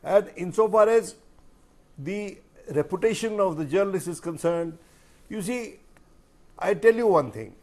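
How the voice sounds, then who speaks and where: A man's voice: sits high, animated, measured pace, pauses often. A man with an Indian accent, a journalist speaking emphatically and rhetorically as a guest in a panel discussion, repeating phrases for weight.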